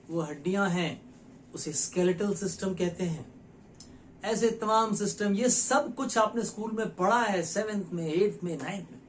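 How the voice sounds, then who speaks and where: A man's voice: high voice, slight background noise, rough recording. A man from India hosting his own health channel, explaining things earnestly and persuasively to his viewers and often asking them rhetorical questions. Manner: addresses viewers directly, with many rhetorical questions; earnest and persuasive, with short pauses between phrases. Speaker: nutrition educator and channel host. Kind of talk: explanatory talk to camera.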